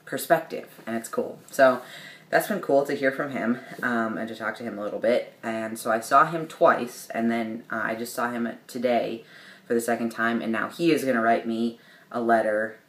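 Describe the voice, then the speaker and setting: Young man, casual vlog, rambling, mid pitch, roomy room echo. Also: moderate pace, fairly bright tone. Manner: rambling, many ums and restarts, light laughs. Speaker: vlogger. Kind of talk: casual video diary. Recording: roomy echo with faint background hiss.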